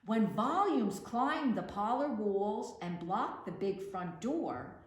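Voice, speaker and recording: Slow, expressive woman reading a storybook, echoey room. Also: medium pitch. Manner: expressive, deliberate storytelling delivery. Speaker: children's librarian, storytime reader. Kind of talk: reading picture books aloud to children. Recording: roomy echo with faint background noise.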